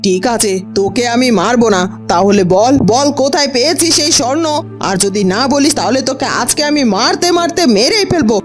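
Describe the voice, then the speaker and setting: Woman acting a greedy, high-pitched bullying cartoon aunt. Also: expressive, brisk, soft background music. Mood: threatening. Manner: exclamatory, wheedling and threatening. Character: greedy, bullying middle-aged village aunt. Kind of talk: children's fairy-tale cartoon dubbing.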